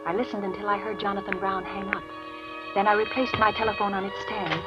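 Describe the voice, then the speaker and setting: A woman's voice: medium pitch, flat delivery, a little fast, close to an everyday voice. A cool, composed widow played by an actress in a golden-age radio thriller. She speaks with precise, genteel poise and puts on grief while staying calculating underneath. The old recording is dull, with music behind it. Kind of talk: 1940s-50s radio drama acting, first-person narration.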